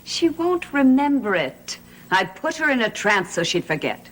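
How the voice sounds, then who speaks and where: A woman's voice: fairly high pitch, big pitch swings, moderate pace, slight background noise, poor audio. An actress playing a proud, scheming woman in a gothic soap opera, speaking in a haughty, dramatic manner. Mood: wounded, defiant. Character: proud, scheming small-town woman with lost occult powers. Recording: old TV soundtrack, loud music behind.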